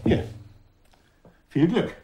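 An actor playing a confident, wry commissioner general in a German radio drama, speaking formally and with assurance. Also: male voice, very slow. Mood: dry, briefing.

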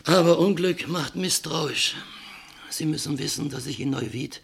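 An actor in a German radio drama playing a careworn, distrustful middle-aged former factory owner, talkative and polite with hesitant pauses. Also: male voice, a little high, creaky, a bit bright, husky, exaggerated voice. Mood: worried, confiding.

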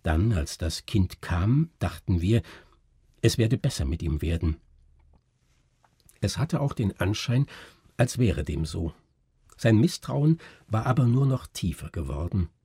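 A man voicing a grave, educated doctor in a literary story, speaking in a measured, brooding, formal way. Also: fairly low pitch, big pitch swings, warm tone, breathy edge, no room sound, studio quality, full character voice. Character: grave educated male doctor recounting a friend's ruin.

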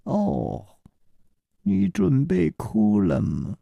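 Roguish master-thief hero performed by a male reader: suave, mocking, slow, with very animated intonation. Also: on the high side, creaky, no room sound, lo-fi sound, pitched above the performer's own voice, full character voice. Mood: mock puzzled. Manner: unhurried, playful, mocking.

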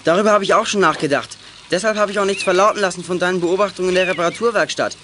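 A male actor plays a level-headed boy detective in a children's audio drama. He lays out plans decisively in a high, quick voice, over faint noise. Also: close to an everyday voice. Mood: thoughtful. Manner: decisive, explanatory.

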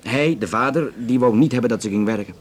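An actor playing a courteous, flirtatious Flemish police detective in a naturalistic radio drama, speaking hesitantly with dry humour. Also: male voice, mid-range voice, fast, lightly acted. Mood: matter-of-fact, grim. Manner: hesitant, dryly joking, conversational.

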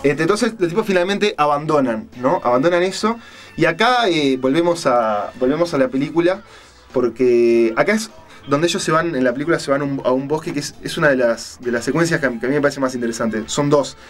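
A man with a Uruguayan accent hosting a casual radio chat, retelling stories in a halting, colloquial way and searching for words. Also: on the high side. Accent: Uruguayan Spanish. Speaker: radio show host. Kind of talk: casual on-air chat, retelling a film and crime story.

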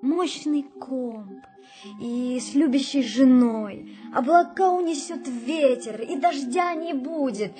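A woman's voice: high-pitched, takes it slowly, warm tone, faint music behind. An actress in a radio play playing a wide-eyed, playful unborn girl, wondering and eager for life in short childlike phrases. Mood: questioning.

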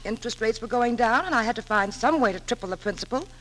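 An actress playing a gentle, scatterbrained middle-aged widowed mother, hesitant and soothing, in a 1940s radio drama. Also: on the high side, expressive, fast talker. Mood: apologetic, hurt. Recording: noisy 1940s radio broadcast.

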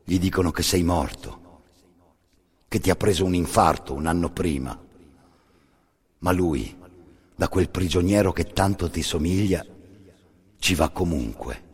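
Deep, husky man narrating gravely. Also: darkish tone. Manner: grave, deliberate short phrases with pauses. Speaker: solo stage narrator. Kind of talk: dramatic scripted storytelling, radio theatre. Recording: long reverberant hall.

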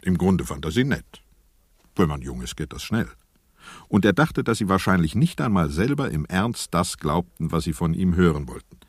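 A wry storyteller in a radio play. His very low voice is slightly rough, with lively intonation and a dry, close sound. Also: normal speed, no echo, full character voice. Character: wry storyteller of a satirical historical tale. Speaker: narrator. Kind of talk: scripted radio play narration.

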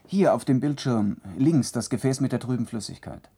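An actor playing a proud, callous surgeon in a science-fiction radio play, explaining things in an obliging, breezily matter-of-fact way. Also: male voice, medium pitch, moderate pace, husky. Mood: casually callous. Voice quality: mellow, soft-edged. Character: proud, casually callous male surgeon at a clinic. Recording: faintly noisy old radio recording.

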